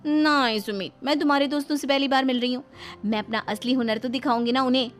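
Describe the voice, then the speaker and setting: A playful young cartoon wife performed by a woman with a cocky film-hero swagger. Her voice is theatrical and on the high side, set over music. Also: steady tone, fast, close to an everyday voice. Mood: proud, insistent. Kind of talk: cartoon story acting.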